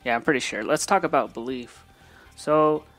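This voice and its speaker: A man in his late twenties muses aloud about growth and belief, wandering from thought to thought in an easy, reflective way. His voice sits at an ordinary middle pitch, and soft music plays faintly behind him. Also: normal speed. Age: 20s. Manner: reflective, rambling, self-talking. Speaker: vlogger. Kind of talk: casual motivational monologue.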